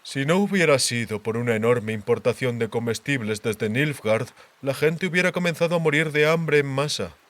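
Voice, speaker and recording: Man acting a grave, measured king, bright-voiced. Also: medium pitch, lightly acted. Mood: worried, reflective. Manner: measured, deliberate delivery. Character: grave, worldly king, slow-spoken and paternally cautionary. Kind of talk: audiobook character dialogue.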